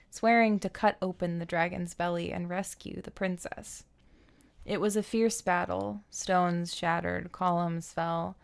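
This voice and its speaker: A woman's voice: on the low side, creaky. A woman streaming a game, talking casually and reading the story aloud.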